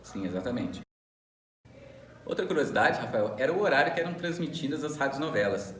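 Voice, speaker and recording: A man's voice: mid-range voice, lively intonation, fairly quick, fairly bright tone. Man, Brazilian Portuguese accent, student radio co-host, semi-scripted delivery, mid-range voice, echoey room sound. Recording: roomy echo, faint background noise.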